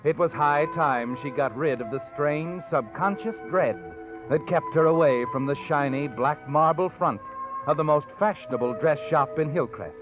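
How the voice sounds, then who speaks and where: A man's voice: a little high, normal speed, close to an everyday voice. An actor playing a knowing, taunting narrator in 1940s radio suspense style, needling a guilty woman. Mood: suspenseful. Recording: dull old radio recording, music behind.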